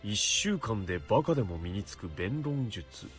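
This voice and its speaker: A man's voice: lowish voice, very animated, quick pace, a bit bright, faint music behind. A man voicing a stern, earnest young knight in a Japanese visual novel, speaking formally as he mutters to himself. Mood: intrigued.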